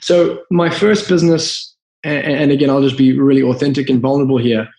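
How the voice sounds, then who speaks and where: A man's voice: medium pitch, fairly even intonation, fairly quick, darkish tone. A man with a Zimbabwean accent, a guest entrepreneur telling his story in a podcast interview in an earnest, open way. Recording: roomy echo.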